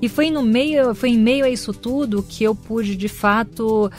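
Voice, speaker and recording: A woman's voice: a little high, unhurried, soft background music. A middle-aged woman, a career coach, talking expressively in an interview and acting out quoted lines. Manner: expressive, acts out quoted voices. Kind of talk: conversational interview.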